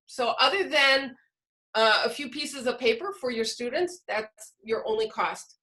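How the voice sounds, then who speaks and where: A woman with a fairly high-pitched voice leads an online workshop for teachers. She explains things step by step and puts questions to her listeners as she presents over a video call. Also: animated, unhurried, bright, forceful tone.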